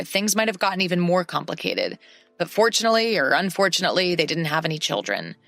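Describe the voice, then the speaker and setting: A woman narrating a first-person story in a steady, matter-of-fact way. Her voice sits low for a woman. The recording is dry and close, with faint music under it. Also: dry recording. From English-speaking country.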